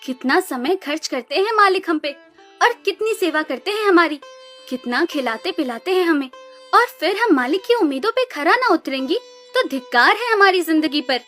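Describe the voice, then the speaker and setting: A woman's voice: extremely high pitch, lively intonation, normal speed, a bit bright. A woman voicing a spiteful younger sister cow in a cartoon story, mocking and scornful. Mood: earnest. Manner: taunting, scornful. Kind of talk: Hindi animated moral story dialogue. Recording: music behind the voice.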